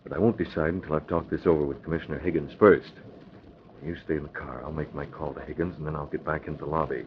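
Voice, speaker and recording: An actor playing a confident masked vigilante in a 1940s radio adventure, firm and decisive. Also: male voice, a bass voice, steady tone, quick pace. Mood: calm, planning. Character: confident masked vigilante posing as lone-wolf racketeer. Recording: muffled, hissy old radio recording.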